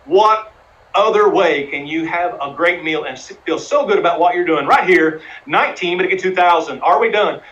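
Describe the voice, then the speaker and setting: A man calling a live charity auction, chanting bids in a fast rhythm and coaxing bidders to raise again. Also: high-pitched, a bit bright, muffled recording. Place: live streamed fundraising event.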